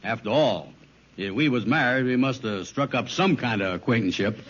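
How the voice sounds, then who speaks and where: An actor playing a gullible, grumbling, slow-witted big man in an old radio sitcom, with blunt, folksy, ungrammatical speech. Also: mid-range voice, expressive, close to an everyday voice. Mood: doubtful. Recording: muffled, noisy vintage radio recording.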